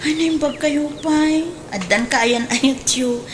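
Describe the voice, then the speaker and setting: A woman's voice: sits high, a little slow. A woman playing an eager, enterprising grown daughter in a radio drama, with an Ilocano accent and exclamatory, swooping delivery. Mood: curious. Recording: faint background noise.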